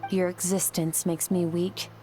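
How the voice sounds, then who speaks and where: A woman's voice: lowish voice, level tone, a little slow, close to an everyday voice. An actress voicing a cold, stern young woman warrior ruled by reason, speaking firmly and without emotion in a game cutscene. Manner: firm, clipped, unemotional. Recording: loud music behind.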